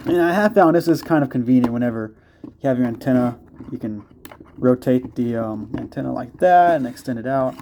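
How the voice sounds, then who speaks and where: A man's voice: medium pitch, background noise. A man giving a casual, hands-on product review in a rambling, hesitant way with folksy phrasing.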